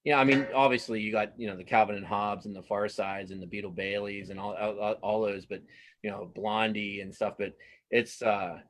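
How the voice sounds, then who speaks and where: A man's voice: lowish voice, steady tone, a little fast, fairly bright tone. A middle-aged man chatting casually as an interview guest, enthusiastic and often repeating words for emphasis. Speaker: guest cartoonist and comics writer.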